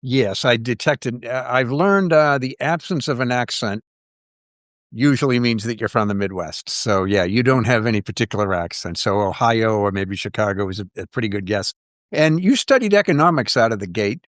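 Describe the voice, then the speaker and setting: A man's voice: medium pitch, moderate pace, a bit bright, no room sound, clean studio sound. Man hosting a podcast interview. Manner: friendly, filler-heavy questions. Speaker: podcast host.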